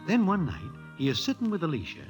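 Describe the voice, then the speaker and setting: An actor with an American accent as a wry Broadway storyteller, narrating in slangy, oddly formal present tense in old-time radio style. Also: male voice, fairly high pitch, huge pitch swings. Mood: wry, knowing. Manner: wry, measured, colloquially formal. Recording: loud music under the voice. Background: music.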